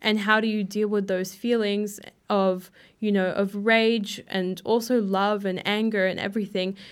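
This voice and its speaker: A woman's voice: medium pitch, little pitch movement, unhurried, clear, resonant tone. A young woman answering interview questions in an earnest, reflective way, with frequent "you know" fillers. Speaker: guest.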